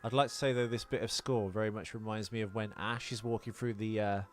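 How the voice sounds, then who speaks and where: A man's voice: on the low side, steady tone, fast, a little hiss or hum. A man co-hosting a podcast in casual film chat, rambling and jokey as he goes through his notes. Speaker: podcast co-host.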